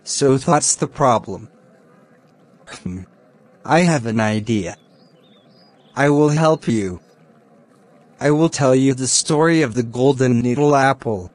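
A man playing a kind, helpful young man in a children's cartoon, speaking in simple, measured scripted lines. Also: mid-range voice, darkish tone, natural voice. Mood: helpful, concerned.

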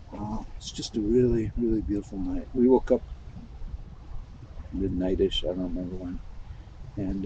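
An older man casually narrating his camping trip, relaxed and rambling with many ums and uhs, recorded outdoors at a campsite. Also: fairly low pitch, lo-fi sound, slight background noise. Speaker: camping vlogger.

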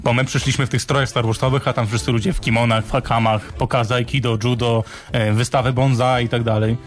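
A man's voice: mid-range voice, flat delivery, fast talker. A man chatting casually as a radio co-host. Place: radio studio.

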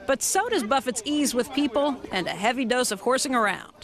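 A woman's voice: high voice, expressive, fairly quick, noisy background. A woman reporter reading scripted news documentary narration in a polished, upbeat voice with a light wry edge. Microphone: studio voice-over. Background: music underneath.

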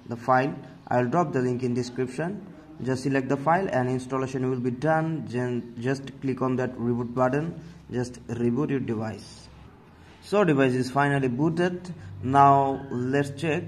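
A man hosting a tech tutorial, narrating casually step by step and often addressing viewers as guys. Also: mid-range voice. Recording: noticeable background noise.

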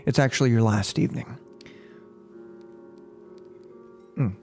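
Man acting a creaky-voiced, unhinged killer. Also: medium pitch, mellow, soft background music, lightly acted. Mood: calm menace. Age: 20s. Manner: mock-polite, halting, menacing, breaking into giggles. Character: unhinged, gloating serial killer toying with a captive. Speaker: ASMR role-player. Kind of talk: ASMR role-play monologue. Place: small furnished room at home. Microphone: close microphone on a boom arm.

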